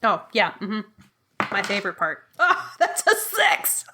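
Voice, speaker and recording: A woman playing a solo tabletop game as guest, expressive and playful, laughing often. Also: medium pitch, measured pace, noisy background. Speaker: player, guest. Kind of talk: casual play and improvised storytelling. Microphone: remote call with headphones.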